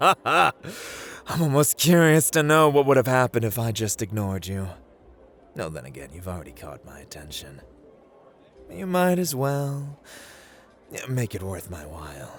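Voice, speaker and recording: A man playing a playful, arrogant god of luck in an ASMR roleplay, with a teasing, drawling, condescending manner and dramatic pauses. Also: medium pitch, expressive, a bit bright. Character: playful, arrogant god of luck, amused and dangerous gambling master. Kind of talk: ASMR roleplay, theatrical monologue to listener.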